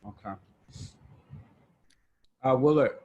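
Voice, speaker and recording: A man facilitating an online group discussion in a halting, informal, casual way. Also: mid-range voice, narrow, tinny audio. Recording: noticeable background noise on online call.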